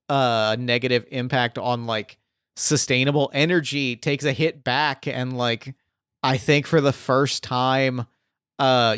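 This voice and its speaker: A man's voice: medium pitch, a bit bright, smooth, no echo, clean studio sound. A man hosting a tabletop game podcast, chatting casually after play and rambling with lots of filler words. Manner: rambling, heavy use of "like" fillers. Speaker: game master and podcast host. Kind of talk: casual post-game chat.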